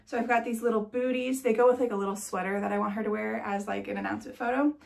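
A woman's voice: on the high side, fairly even intonation, quick pace, vocal fry. A young woman in her twenties, congested and chatty, talking to camera in a nursery room. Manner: chatty, with many "like"s and light laughs. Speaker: vlogger. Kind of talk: casual chat to camera.

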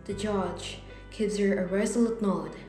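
A woman's voice: medium pitch, fairly even intonation, unhurried, over loud music. A woman giving scripted narration for a radio drama. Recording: echoey room, faint background noise.

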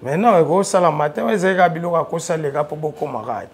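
A man's voice: on the high side, quick pace, creaky, a little hiss or hum. A man with a Congolese French accent, a studio interview guest talking casually and animatedly about his music career. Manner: animated storyteller, rising loud when excited. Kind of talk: casual interview talk, mixing French and Lingala. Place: TV studio, seated on a sofa.

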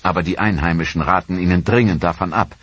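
Tiefer, rauer Erzähler einer Geschichtsdoku. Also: male voice, low voice, quick pace, a bit bright, breathy edge. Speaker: documentary narrator. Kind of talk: scripted historical documentary narration.

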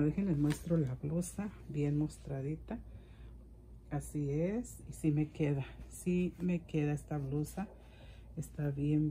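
A woman's voice: low voice, warm tone, a little hiss or hum. A middle-aged woman chatting casually for a vlog, rambling and repeating her words. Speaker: vlogger. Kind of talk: casual gift-haul vlog chat. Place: indoors in a home room.

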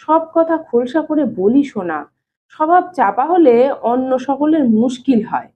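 Woman voicing nosy older family man, high pitch, coaxing, familiar, storybook reading, tinny phone-like audio. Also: expressive, unhurried. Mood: concerned, coaxing. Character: nosy, concerned older brother-in-law, a male family elder. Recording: thin phone-like narrow-band sound.

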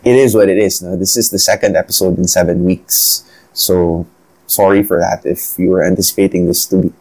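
Solo podcast host: Filipino-accented man, 30s, slightly low voice, reflective and chatty, lapel mic in a small room. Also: steady tone, brisk, a little mellow, low-quality recording. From Philippines. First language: Bisaya. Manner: reflective, conversational, addresses viewers as guys. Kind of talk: solo talk to camera. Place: small indoor room at a desk.

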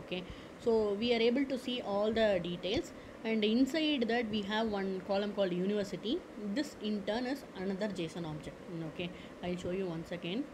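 A woman teaching a screen-recorded software lesson, explaining step by step with frequent "okay" and "uh" fillers. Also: mid-range voice, normal speed, background noise. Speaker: tutorial instructor. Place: at a computer.

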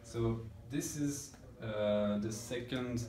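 A man's voice: mid-range voice, slow pace, warm tone. Guy in his 30s explaining audio engineering stuff, keeps hunting for words, echoey listening room. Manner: hesitant, searching for words. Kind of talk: informal technical explanation. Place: indoor listening room with loudspeakers. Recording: roomy echo with background room noise.

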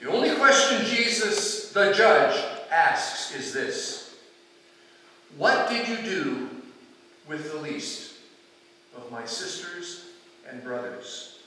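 An older man preaching a sermon as a pastor, measured and deliberate, in a church sanctuary. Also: on the high side, very animated, sharp tone, a little hiss or hum. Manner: measured, deliberate, with rhetorical questions and pauses. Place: large church sanctuary, indoors. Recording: very echoey church hall, distant microphone.

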